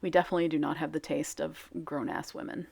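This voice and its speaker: A woman's voice: deep voice, little pitch movement, quick pace, gravelly, darkish tone, husky. A woman giving chatty, self-mocking voice-over commentary on her soap making video, full of fillers.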